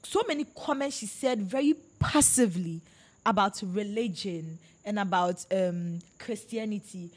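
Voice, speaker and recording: A woman's voice: mid-range voice, a little slow. A woman from Ghana hosting a casual podcast chat about books, talking emphatically and animatedly, often overlapping her guests. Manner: animated, emphatic, talks over others. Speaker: podcast host.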